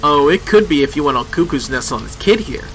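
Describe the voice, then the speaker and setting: An actor playing a gruff, sardonic, foul-mouthed small-town police chief in a radio drama, confrontational and folksy. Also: male voice, on the high side, animated, fairly quick, fairly bright tone, poor audio.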